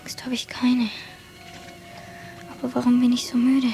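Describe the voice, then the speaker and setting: A woman's voice: a little high, completely flat delivery, normal speed, gravelly, warm tone, faint music behind. A woman playing a dreamy, earnest schoolboy who longs for the sea in a German radio drama. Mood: weary musing. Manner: earnest, wondering.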